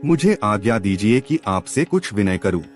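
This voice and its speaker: A man's voice: fairly low pitch, sing-song, normal speed. A man voicing a wealthy, courteous landowner who speaks formally and entreats earnestly in a story. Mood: earnest persuasion. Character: wealthy, courteous, persuasive landowner pandit, sincere and humble. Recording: music under the voice.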